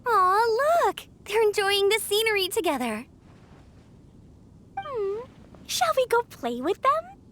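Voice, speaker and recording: A woman voicing an excitable, innocent little girl in a video game. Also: very high voice, slow pace, pauses often, slight background noise, heavily acted. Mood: curious, eager.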